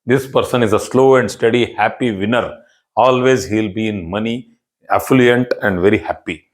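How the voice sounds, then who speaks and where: A man's voice: lowish voice, moderate pace. A man with an Indian English accent, a native Kannada speaker, teaching an online astrology class in an explanatory, emphatic way and repeating key phrases. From southern India.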